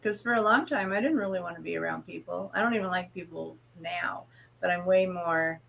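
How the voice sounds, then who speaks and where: A woman's voice: fairly low pitch, a little fast, gravelly, fairly bright tone, slight background noise. A woman chatting casually as a panel guest, blunt and wry, with salty language. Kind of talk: casual chat.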